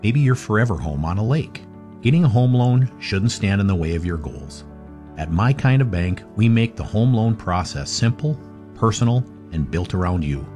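A man reading a scripted bank advertisement in a smooth, reassuring way. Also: on the low side, lively intonation, moderate pace, warm tone, soft background music. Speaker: ad announcer. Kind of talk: scripted ad read.

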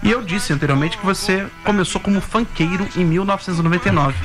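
A man's voice: high-pitched, fast, over music. A man, hosting a casual talk show in a showy, jokey way. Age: 30s. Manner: showy, jokey, hypes guests up. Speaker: podcast host.

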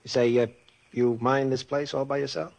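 An actor playing a glib young racetrack hustler who talks in slang, in 1940s radio style. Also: male voice, mid-range voice, little pitch movement, creaky, darkish tone, lo-fi sound, lightly acted. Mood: guarded. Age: young adult. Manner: glib, fast-talking, slangy. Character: smooth-talking young male racetrack hustler.

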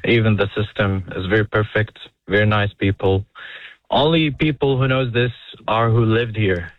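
A young man from Saudi Arabia, calling in to a radio show, talking earnestly and haltingly. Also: lowish voice, no room sound. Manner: earnest, halting, restarts phrases. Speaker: caller. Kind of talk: casual phone-in chat. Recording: muffled phone-in line.

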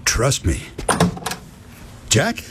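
An actor playing a gentle, kind man grieving his late wife, who talks softly and reassuringly to a child in a radio drama. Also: drawn-out words, noisy background. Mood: tender. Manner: soft, tender, reassuring with a child. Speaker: widowed friend.

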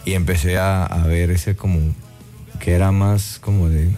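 A man's voice: low voice, flat delivery, a little slow, dark tone, faint music behind. A man from Guatemala, a singer chatting in an interview, thoughtful and full of "eh" fillers and tag questions. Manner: reflective, many "eh" fillers and tag "¿no?". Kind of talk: casual interview chat.

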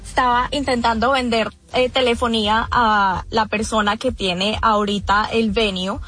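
A woman's voice: fairly high pitch, steady tone, normal speed, a bit bright. A woman with a Colombian accent, a guest in a radio interview, speaking earnestly with many fillers and restarts. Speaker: event organizer, guest.

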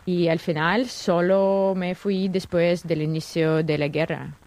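A young Russian woman with a Russian accent, a guest journalist speaking careful, somewhat nervous Spanish with many pauses and fillers. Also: fairly low pitch, fairly even intonation, darkish tone. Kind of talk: interview answers.

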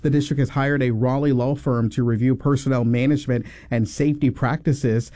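A man reading a scripted local newscast in an even, measured way. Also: mid-range voice. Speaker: news anchor.

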